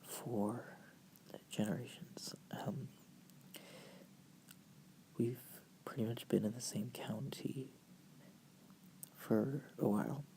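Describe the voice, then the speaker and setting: A narrator from the United States whispering softly and breathily through an informal, rambling slideshow in ASMR style. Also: medium pitch, creaky, low-quality recording. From United States, family from Virginia. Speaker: video creator and host.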